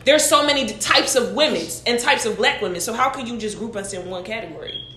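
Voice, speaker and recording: Woman, discussion host, bright, casual panel talk. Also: a little high, fast talker, roomy sound.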